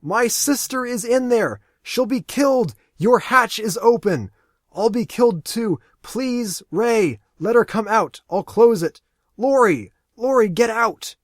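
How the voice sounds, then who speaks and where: A man voicing a desperate young man who shouts and pleads in a raised, strained voice. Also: very high-pitched, animated, slow, dry recording, clean studio sound, voice pushed up, exaggerated voice. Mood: frantic.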